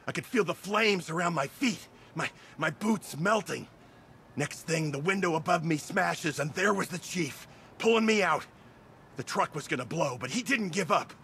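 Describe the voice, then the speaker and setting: An actor playing a rattled firefighter in a video game, urgent and earnest. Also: male voice, fairly high pitch, normal speed, gravelly, bright, forceful tone, slight background noise. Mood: urgent, shaken. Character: rattled, urgent male firefighter who escaped a crash.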